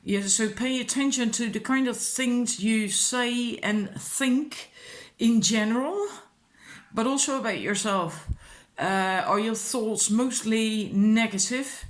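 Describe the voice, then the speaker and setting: A woman's voice: a little high, slow. A woman with a Dutch accent, a native Dutch speaker, giving informal, encouraging spiritual advice as a card reader in a rambling, casual way. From Netherlands.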